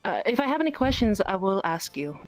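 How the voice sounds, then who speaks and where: A woman's voice: mid-range voice, sing-song, a little fast, no room sound, close to an everyday voice, rough recording. A woman playing an earnest, knowledgeable dragon who gives friendly advice in a hesitant, rambling, repetitive way in improvised online roleplay. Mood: earnest, flustered. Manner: hesitant, rambling, repeats phrases. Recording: music behind the voice.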